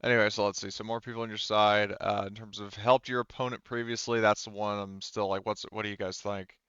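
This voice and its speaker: A man's voice: lowish voice, level tone, fairly quick, fairly bright tone, clear, resonant tone, no room sound, dull audio. A man talking casually as game master of a tabletop roleplaying game, thinking aloud as he explains rulings.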